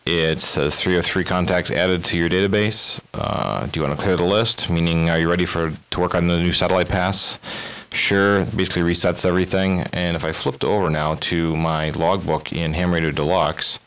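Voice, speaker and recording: A man presenting amateur radio software in a casual demo style, sometimes trading radio contacts. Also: low-pitched, moderate pace, clear, resonant tone, low-quality recording. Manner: spells call signs in phonetic alphabet. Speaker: presenter demonstrating his radio logging software. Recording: hissy recording with steady noise.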